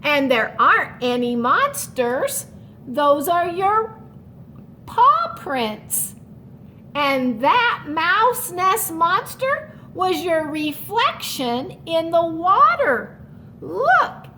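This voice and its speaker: Storybook big brother-type mouse, read by a woman: male, soothing, reassuring, slow, high-pitched. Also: big pitch swings, sharp tone, a little hiss or hum, exaggerated voice.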